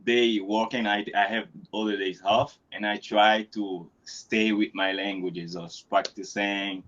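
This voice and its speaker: A man from Haiti, native in Creole and French, talking his way through English practice in a casual, halting way. He restarts often and laughs easily. His voice sits low, and the sound is a little muffled and lo-fi. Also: fairly even intonation, a bit bright. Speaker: English learner.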